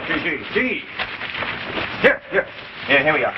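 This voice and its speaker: A man's voice: on the high side, very animated, measured pace. An actor in a 1950s television drama playing an earnest, harried man leading a search, hesitant and repeating words. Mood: urgent. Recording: noisy muffled 1950s TV broadcast.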